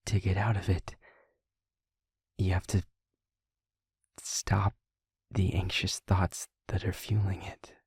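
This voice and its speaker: A man playing a gentle vampire in an intimate audio roleplay, speaking in a breathy near-whisper with halting, deliberate, reassuring phrasing. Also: low voice, flat delivery, no echo, studio quality, full character voice. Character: gentle male vampire soothing a newly turned partner.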